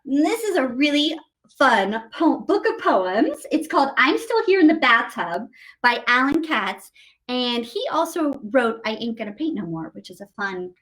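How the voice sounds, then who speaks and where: A woman's voice: high-pitched, fairly bright tone. A woman hosting a live story time for children, reading and singing in a playful, exaggerated sing-song way and talking straight to the kids. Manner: addresses young children directly with questions. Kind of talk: live read-aloud and sing-along for kids.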